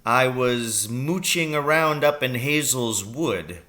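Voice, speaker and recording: A tired, injured father, as a man reads him from a storybook, speaking in a measured, understated way. His voice is bright but steady, with a wry edge. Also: medium pitch, voice pushed up. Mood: wry, weary.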